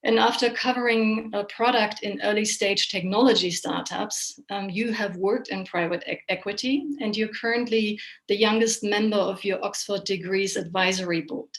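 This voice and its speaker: Female moderator for online discussion, mid-pitched, chatty and keen, introduces guests and asks questions. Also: steady tone, normal speed, few pauses, poor audio. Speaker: host, moderator of an online panel. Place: online video call.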